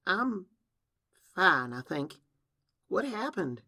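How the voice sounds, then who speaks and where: Storybook role: defiant young filly, plaintive and indignant, raised bright pitch male narrator acting it out. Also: big pitch swings, drawn-out words, heavily acted. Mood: dazed, anxious. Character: upset, defiant young filly pony girl.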